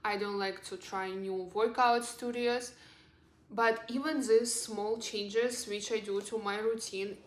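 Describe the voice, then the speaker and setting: A woman's voice: medium pitch, fairly even intonation, takes it slowly. A young adult woman from Russia, a native Russian speaker with a Russian accent, giving casual, earnest, encouraging advice as a lifestyle vlogger. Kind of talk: casual advice vlog to camera. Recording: roomy, echoing sound.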